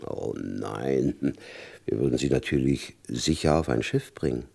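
Very deep, gravelly FBI chief. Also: male voice, measured pace, a little mellow, exaggerated voice. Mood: insinuating pressure. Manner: condescending, insinuating, clipped. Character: hard, menacing male FBI chief, shrewd and commanding. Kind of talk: German radio crime drama acting.

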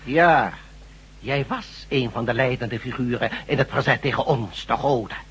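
A man acting in a radio drama as a smug, menacing god posing as a doctor, deliberate and condescending. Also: medium pitch, a bit bright, husky, dull audio. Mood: smug, gloating.

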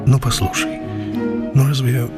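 An actor playing a grey-haired, awkward, stubborn and lovesick barbershop customer in a radio play. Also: male voice, medium pitch, lively intonation, a little slow, close to an everyday voice. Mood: timid, pleading. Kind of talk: radio play acting with narration. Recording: music under the voice. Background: loud music.

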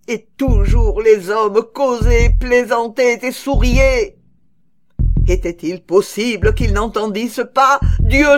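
A woman reading a gothic horror story as a guilt-maddened murderer, in a dramatic, deliberate manner. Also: a little high, voice pushed up. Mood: frantic, agitated. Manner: dramatic, deliberate, building to frenzied cries.

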